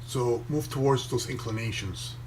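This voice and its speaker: A man raised in Venezuela speaks in a slow, measured, reflective way, breaking his sentences into short phrases with pauses. His voice sits at a middle pitch, with a faint hiss of background noise. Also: rough recording. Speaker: inspirational speaker reading his own post. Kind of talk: reflective motivational reading and commentary.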